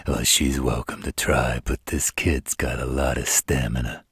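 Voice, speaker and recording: A man voicing an arrogant, brooding male vampire in a scripted audio roleplay, with slow, dramatic delivery and a rough voice. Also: level tone, a bit bright, dry recording, clean studio sound, heavily acted. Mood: cocky. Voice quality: very deep, rough husky voice. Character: arrogant male vampires plotting, grave and cocky.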